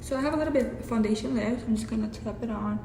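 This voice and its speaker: A woman's voice: a little high, fast talker, gravelly, warm tone, soft background music. A young woman with a Mexican accent doing a casual, profane makeup tutorial with chatty asides. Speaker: beauty vlogger. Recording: echoey room with hiss.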